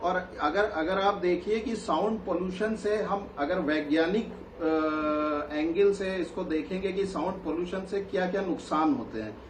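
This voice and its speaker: A man's voice: sits high, a little slow, few pauses. Man, expert explainer, hesitant, English terms mixed in, echoey room, noisy link. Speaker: professor, expert guest. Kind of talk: explanatory TV interview. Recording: roomy, noisy remote link.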